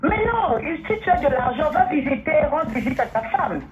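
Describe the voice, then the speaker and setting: A woman's voice: high-pitched, sing-song, normal speed, faint music behind. A woman who grew up speaking Portuguese, calling into a talk show and speaking emphatically, repeating herself and exclaiming hallelujah. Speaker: caller. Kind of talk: opinionated phone-in talk. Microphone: phone line. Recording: tinny phone line, faint noise.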